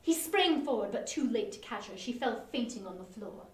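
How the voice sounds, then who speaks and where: A woman's voice: on the high side, lively intonation, normal speed, a little mellow. A woman narrating a story as a dramatic reading. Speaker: reader at a live online performance. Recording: roomy, distant from the mic.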